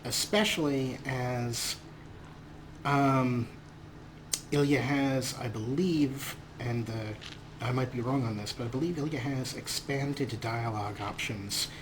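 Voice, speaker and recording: A man's voice: medium pitch, slow pace. A man hosting a let's play with casual, playful commentary, often talking to the game's characters. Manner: playful, talks to game characters. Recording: clear game ambience noise.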